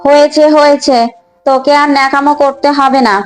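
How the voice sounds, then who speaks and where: Eldest sister-in-law for a cartoon, acted by a woman, high voice, sharp-tongued schemer, light music underneath. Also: flat delivery, a little fast, natural voice. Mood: scornful, indignant. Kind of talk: Bengali cartoon voice acting.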